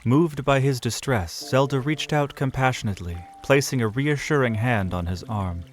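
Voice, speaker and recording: A man narrating an audio drama in a measured storytelling manner. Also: medium pitch, faint music behind. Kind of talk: scripted narration.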